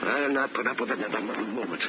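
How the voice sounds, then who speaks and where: An actor playing an indignant, pompous building owner who sputters haughty denials, in 1950s radio drama style. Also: male voice, fairly low pitch, quick pace, lightly acted. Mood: outraged. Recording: lo-fi old radio broadcast with heavy hiss.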